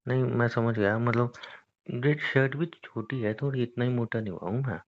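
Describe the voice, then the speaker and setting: A man's voice: mid-range voice, normal speed, lightly acted. A young man playing a lazy, evasive boyfriend in an acted phone-call drama, making casual, whiny, defensive excuses. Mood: whiny, resigned. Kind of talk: acted phone-call conversation between a couple.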